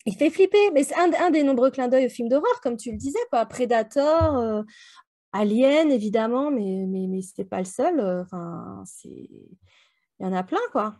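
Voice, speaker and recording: A woman in relaxed, informal fan discussion as a book club co-host, speaking slangily. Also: fairly high pitch, moderate pace. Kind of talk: casual chat, fan discussion.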